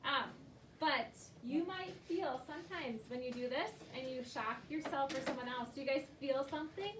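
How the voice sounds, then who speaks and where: Female elementary teacher, high voice, questioning and encouraging, recorded at a distance in a classroom. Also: normal speed. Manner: questioning, encouraging, checks for understanding. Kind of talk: classroom lesson to young children. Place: indoor classroom. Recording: echoey noisy classroom, distant mic.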